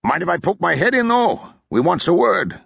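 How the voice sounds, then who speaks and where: A gruff, coarse army captain with a heavy mountain accent, as a male audiobook narrator puts him on. He needles and jabs, his voice pitched high and bouncing around. The audio is dry and a bit dull. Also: sing-song, moderate pace, fairly bright tone, no room sound, full character voice. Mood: gruff mocking. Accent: heavy mountain accent (fictional).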